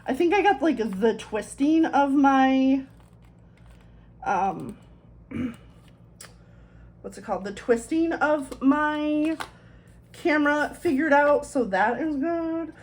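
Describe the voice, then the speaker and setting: A woman's voice: high-pitched, lively intonation, takes it slowly, long pauses, darkish tone. A woman chatting casually as she decorates a planner, her voice a little thick from swollen tonsils, rambling in self-deprecating asides. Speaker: planner vlogger.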